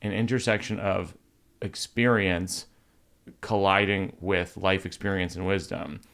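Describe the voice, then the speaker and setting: A middle-aged man with a low, gravelly voice hosts a relaxed podcast chat. He starts sentences over and over, stumbling through restarts before landing his point, then picks up with animated asides. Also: unhurried. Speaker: podcast host. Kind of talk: casual conversation.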